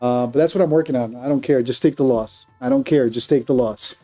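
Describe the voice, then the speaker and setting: A man's voice: mid-range voice, a little fast, dark tone, soft background music. A man from Colombia with a Colombian accent, commenting on his trades during a live stream and answering viewer chat in a candid, self-critical way.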